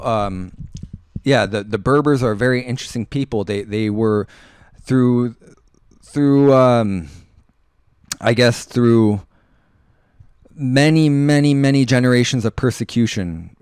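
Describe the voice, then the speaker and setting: A man hosting a casual live radio talk show, rambling through listener comments in a halting way and often repeating words and phrases. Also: medium pitch, lively intonation, a little mellow, clean tone, no echo, studio quality.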